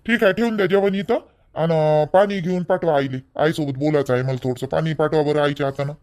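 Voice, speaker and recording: A man's voice: fairly high pitch, steady tone, fast talker, darkish tone, a little hiss or hum, close to an everyday voice. A man voicing a blunt, demanding young married son in an amateur village cartoon, with a Vidarbha Marathi accent. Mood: resentful, curt.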